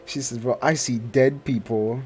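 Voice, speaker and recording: A man's voice: mid-range voice, steady tone, normal speed, a little mellow, slight background noise. A man giving casual, slangy reaction commentary as a gaming streamer. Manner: slangy, informal.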